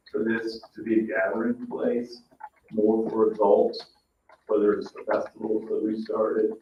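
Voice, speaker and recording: A mature man thinking aloud in an informal meeting, tentative and halting as he puts questions. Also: fairly low pitch, creaky. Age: middle-aged or older, a grandfather. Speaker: redevelopment commission meeting participant. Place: meeting room, mic at a distance. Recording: roomy, distant, dull, faint noise.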